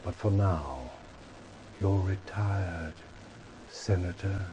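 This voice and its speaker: A man acting a smug, menacing chairman of a totalitarian regime in a game cutscene, with pompous, measured speechmaking over noisy audio. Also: low voice, fairly even intonation. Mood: smugly menacing. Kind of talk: theatrical villain monologue.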